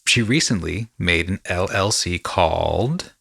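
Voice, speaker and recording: A man's voice: sits low, expressive, a little slow, gravelly, fairly bright tone, slightly rough, dry recording. A man hosting a casual podcast conversation, talking with rambling enthusiasm and often repeating his phrases. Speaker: podcast host and actor.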